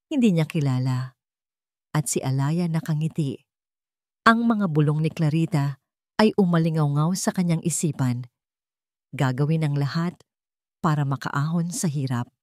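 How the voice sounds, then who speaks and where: A Filipino woman narrates a scripted romantic drama. Her voice sits a little low for a woman. The recording is dry, with very little room sound. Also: darkish tone, no echo. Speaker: story narrator.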